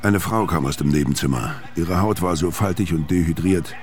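An actor playing a gruff, laconic, sardonic killer on the run, hard-boiled and world-weary, in a radio crime drama. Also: male voice, low voice, normal speed, a little mellow, lightly acted. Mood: bleak, weary. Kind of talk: first-person crime narration and radio drama acting.

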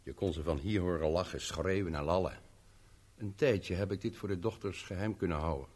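An actor in a 1970s radio play playing a bitter, vengeful older servant, speaking in a grave, formal, terse way. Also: male voice, sits low, fairly quick, darkish tone, husky.

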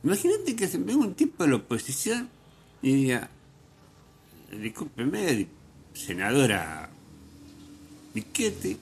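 A man's voice: medium pitch, slightly rough. A man with a Buenos Aires accent giving a casual, rambling, sardonic radio monologue as host. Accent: Buenos Aires Argentine Spanish. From Argentina.

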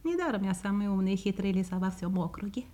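A woman's voice: mid-range voice, animated, fast talker, warm tone, close to an everyday voice. A woman playing a sly, vain, clever fox in a children's audio play, muttering craftily to herself in a singsong way. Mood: indignant, boastful.

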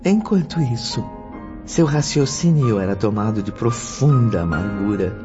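A man narrating a scripted radio drama in a solemn, literary, measured manner. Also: fairly low pitch, animated. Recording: muffled radio drama with music bed.

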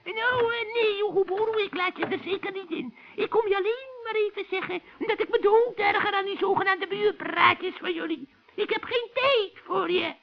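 Cranky scheming old witch, very high shrill cackle, scolding and wheedling, muffled children's radio play. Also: normal speed, vocal fry, a little hiss or hum. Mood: irritated scolding.

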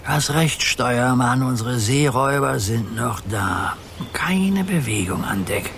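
An older actor playing a good-natured grandfather who pretends to be a ship's captain. He speaks calmly and cautiously, with gentle teasing, in a children's radio play. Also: mid-range voice, a little slow, background noise. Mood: playful.

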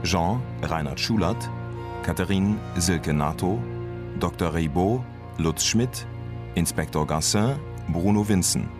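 Announcer: man with a low voice, formal credit reading for radio drama, music behind. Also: slow. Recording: studio announcement over clear music.

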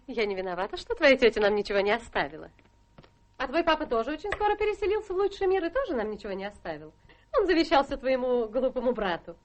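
An actress playing a charming, ambitious young society wife in a radio play, coquettish and breezily self-assured in a theatrical manner. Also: female voice, sits high, sing-song, fast. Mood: breezy, reassuring.

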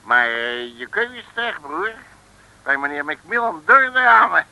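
An actor playing a brash, grumbling detective who blusters in slangy Dutch in a 1960s radio serial. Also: male voice, on the high side, sing-song, moderate pace, vocal fry, pushed and bright, highs cut off, heavily acted. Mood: indignant. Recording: old radio recording.

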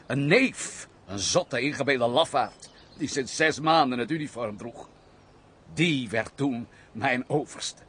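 A wounded, misanthropic nobleman in an old radio play. He speaks in formal, sorrowful phrases with a gruff edge, over faint background noise and outdoor sounds. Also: mid-range voice, a bit bright. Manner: formal, theatrical, sorrowful storytelling. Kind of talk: old radio drama acting.

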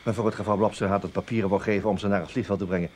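Man acting a low-voiced, wry intelligence officer. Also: fairly even intonation, racing, a little mellow, close to an everyday voice. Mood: dry, teasing. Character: wry, tactful male intelligence officer breaking bad news. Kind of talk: Dutch radio play acting. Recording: noisy old radio drama recording.

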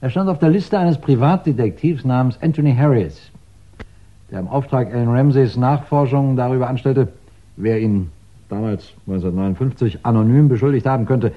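An actor playing a measured, authoritative male chief inspector in a 1960s German radio crime play. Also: mid-range voice, natural voice. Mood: pensive. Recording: dull 1960s radio recording.

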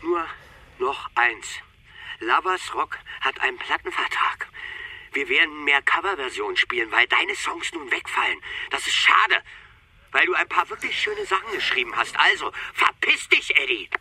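An actor playing an angry, hurt bandmate in a radio drama, curt and sarcastic with a husky edge. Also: male voice, medium pitch, sing-song, normal speed, sharp tone, no room sound, full character voice. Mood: cold, dismissive. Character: angry, hurt bandmate cutting off his friend. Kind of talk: radio drama phone dialogue.

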